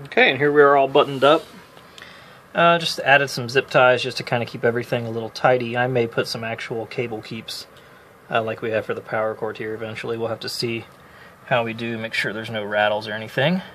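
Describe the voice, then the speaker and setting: A man's voice: medium pitch, fairly even intonation, brisk, a bit bright, a little hiss or hum. A man talking casually through a hands-on tutorial, unscripted and lightly self-deprecating. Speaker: DIY video maker demonstrating an amp modification.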